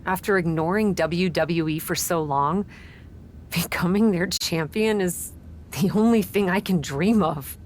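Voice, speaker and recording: A woman voicing a cocky young female wrestler in a game, breezy, flippant and quick to defend herself. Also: on the low side, slight background noise, lightly acted. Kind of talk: game cutscene dialogue.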